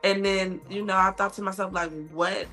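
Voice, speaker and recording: Bright-voiced woman, laughing often. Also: medium pitch, flat delivery, moderate pace, faint music behind. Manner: laughs often, warm and open. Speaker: guest musician. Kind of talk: casual podcast interview.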